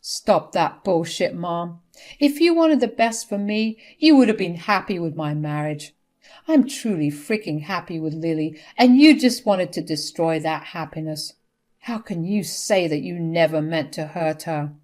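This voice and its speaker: A woman's voice: on the low side, expressive, measured pace, a little mellow. Grown son performed by a woman, angry, hurt, firm, accusing, exasperated, family storytime drama. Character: hurt, angry adult son rejecting his mother.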